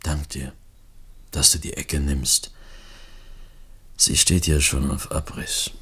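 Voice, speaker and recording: Ein Schauspieler spielt in einem Hörspiel einen wehmütigen Mann, der nachdenklich und bedächtig mit rauer Stimme spricht. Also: male voice, a bass voice, pushed and bright, breathy edge, heavily acted. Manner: pensive, deliberate. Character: wistful man mourning vanishing childhood streets. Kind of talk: reflective radio play monologue.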